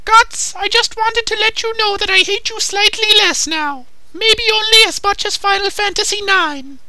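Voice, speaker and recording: A woman's voice: very high voice, normal speed, sharp tone, full character voice, poor audio. A woman voicing a curt, contemptuous young female mercenary commander in an anime parody dub. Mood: scornful.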